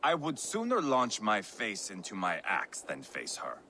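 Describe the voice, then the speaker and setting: An actor playing a brash Viking warrior with crude humour in a video game, sardonic and irreverent. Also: male voice, fairly low pitch, huge pitch swings, unhurried, bright, forceful tone, slight background noise, exaggerated voice. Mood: crude jesting. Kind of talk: dramatic video game acting.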